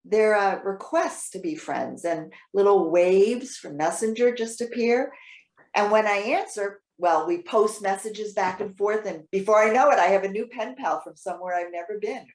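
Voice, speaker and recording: A woman playing a trusting, excitable elderly widow in readers theater, talking in a chatty, halting, earnest way. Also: medium pitch, natural voice. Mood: excited, proud. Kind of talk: readers theater stage acting. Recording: roomy, echoing sound.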